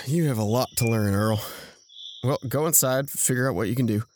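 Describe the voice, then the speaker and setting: A man playing a practical, protective brother in an audio drama, gravelly and wry, explaining things with incredulous patience. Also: medium pitch, normal speed, a little mellow, a little hiss or hum, lightly acted. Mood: patient, caring.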